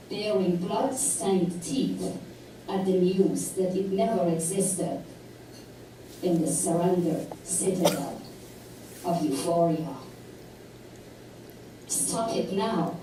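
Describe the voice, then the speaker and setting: A woman reads her poetry slowly and deliberately, in a mellow, low voice. The sound is roomy and distant, as if caught from a hall microphone. A soft hum of room noise sits underneath. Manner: measured, deliberate recitation. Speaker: poet at a public reading. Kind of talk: slow recited poetry reading. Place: lecture hall. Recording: echoey hall, distant mic.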